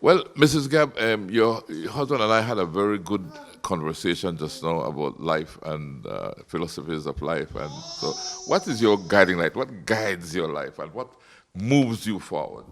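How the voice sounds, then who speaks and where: Interviewer man, lower voice, friendly and meandering. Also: animated, moderate pace. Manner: friendly, wandering questions with restarts. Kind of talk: interview questions.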